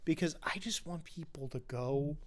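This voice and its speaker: A man's voice: on the high side, moderate pace. A man hosting a podcast, chatting casually and enthusiastically about films. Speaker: podcast host. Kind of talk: casual film discussion.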